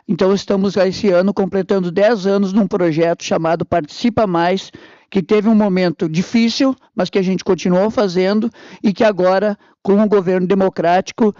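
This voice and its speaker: A man's voice: sits high, darkish tone, no room sound. A man from Brazil, a public health master's student, giving earnest panel remarks with occasional stumbles. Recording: dry, dull lo-fi conference recording.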